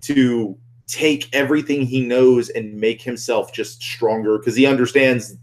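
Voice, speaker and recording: A man co-hosting a casual film discussion, speaking informally and somewhat haltingly. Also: mid-range voice, fairly even intonation. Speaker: podcast co-host relaying audience comments.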